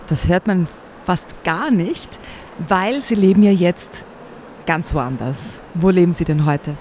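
Slow, friendly woman interviewer. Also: on the low side, expressive, a little mellow. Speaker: radio interviewer. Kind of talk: radio interview. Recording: faint street noise behind.

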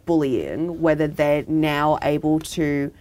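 A woman with a slightly low voice co-hosts a relaxed podcast chat. She talks hesitantly, leans on "like" a lot, and giggles easily. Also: slow, a little hiss or hum. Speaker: podcast co-host. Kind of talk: casual chat.

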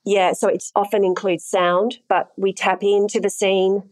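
A woman speaking at a middle pitch, explaining her job in a frank, matter-of-fact way. She laughs easily as she talks. Her voice is recorded close and dry, with no room sound. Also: little pitch movement, normal speed, a little mellow, no echo. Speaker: intimacy coordinator. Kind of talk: casual interview chat.

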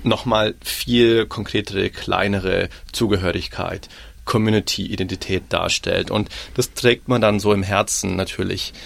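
A man's voice: low voice, fairly even intonation, a little slow, creaky. A man moderating a panel discussion, putting questions to the group in an engaged way. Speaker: host, moderator.

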